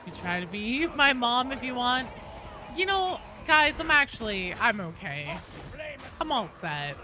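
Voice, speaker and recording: A woman's voice: on the high side, very animated, measured pace, background noise. A woman livestreaming games, chatting casually and reading out viewer comments in a joking, teasing, sweary way. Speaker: game streamer.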